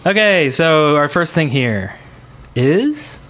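A man's voice: a little high, lively intonation, takes it slowly, a bit bright. A man hosting a gaming podcast in a casual, chatty style. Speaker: podcast host.

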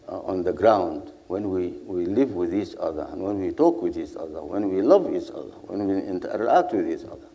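Deep-voiced man speaking in a hall. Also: mellow. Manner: earnest, rhetorical, repeats phrases in lists. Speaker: panelist. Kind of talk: formal panel speech. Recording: hall with long reverb.

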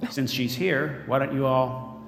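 A man's voice: medium pitch, steady tone, unhurried, gravelly. An actor playing a smug, controlling tech mogul in an audio drama, giving a polished, self-satisfied speech as he unveils his android. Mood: smug, cruel. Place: large event room as staged, crowd behind. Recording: echoey hall with crowd behind.

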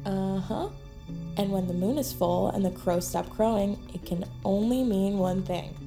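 A woman's voice: medium pitch, a little slow, music in the background, close to an everyday voice. An actress playing a bold, playful young woman who slays monsters in an audio drama, with a teasing, dramatic manner. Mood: playful resolve.

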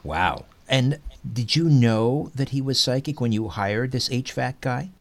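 A man's voice: mid-range voice, expressive, a little slow. A man hosting an interview show in a radio style.

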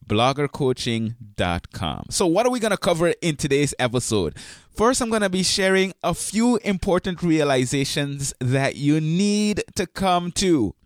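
A hoarse man hosting a podcast, talking in an upbeat, chatty way and joking at himself, with his voice deeper than usual from a sore throat. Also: big pitch swings, moderate pace, dry recording, studio quality. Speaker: podcast host. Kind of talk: casual podcast talk.

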